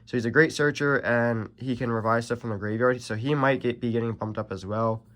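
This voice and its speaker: A young man with a stuffy, nasal voice from allergies, casually walking through a card deck, rambling with many pauses and self-corrections. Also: medium pitch, steady tone, a bit bright. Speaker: card game channel host. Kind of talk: casual deck profile walkthrough.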